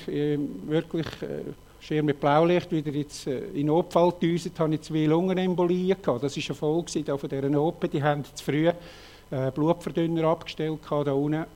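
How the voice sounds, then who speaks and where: A man in his late fifties with a Swiss German accent, frankly telling his own story in a talk, halting often with fillers. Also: a little high, little pitch movement, mellow. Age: 50s. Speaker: speaker giving a personal testimony. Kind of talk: personal testimony talk with slides.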